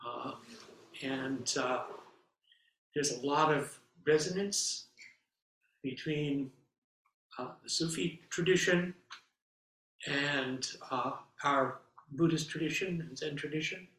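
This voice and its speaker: A man speaking as an abbot, opening a class with relaxed, hesitant remarks full of pauses. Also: fairly high pitch. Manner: relaxed, with many fillers and pauses. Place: meditation hall. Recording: echoey hall, mic far off.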